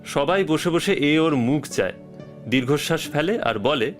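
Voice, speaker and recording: A man's voice: on the high side, expressive, moderate pace, soft background music. A man narrating a scripted story as the storyteller.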